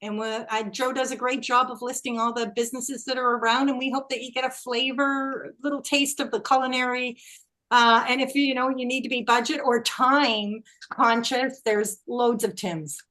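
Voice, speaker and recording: A woman hosting a casual group video call as tour organizer, chatty and quick to laugh. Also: fairly high pitch, steady tone, pushed and bright, poor audio. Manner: chatty, laughs often, restarts phrases.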